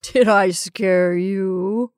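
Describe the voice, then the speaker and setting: A woman voicing a man in a story who talks with deliberate, pleasant ease to cover his unease. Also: medium pitch, fairly even intonation, smooth, dry recording, studio quality. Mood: forced ease. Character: man, brother, falsely easy, covering unease.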